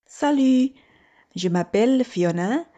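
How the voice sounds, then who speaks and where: A woman giving a prepared personal message in a deliberate, careful manner. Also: fairly high pitch, lively intonation, warm tone. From Australia.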